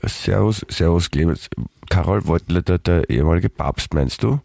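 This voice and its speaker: A man with an Austrian German accent co-hosting a podcast in playful, rambling banter, his voice hoarse and full of halting restarts. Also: deep voice, darkish tone, no room sound. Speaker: podcast co-host. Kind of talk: casual banter.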